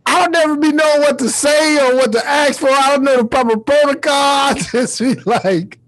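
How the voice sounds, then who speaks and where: High-voiced man, slangy podcast host. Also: normal speed, a bit bright. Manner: slangy, informal, swearing freely. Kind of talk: casual chat.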